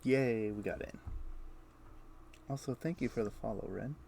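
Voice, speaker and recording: A man's voice: mid-range voice, normal speed, gravelly, warm tone. A man livestreaming a game, chatting casually and muttering his thoughts aloud in short fragments. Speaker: game streamer. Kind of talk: casual livestream chat, thinking aloud while gaming. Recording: faint keyboard typing and clicking noise. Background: computer keyboard typing and mouse clicks.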